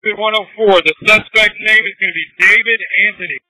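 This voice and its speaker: A man's high-pitched, forceful voice comes over a police radio. He talks like an officer in an emergency, in short urgent bursts, with a dry sound. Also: quick pace, no room sound, low-quality recording.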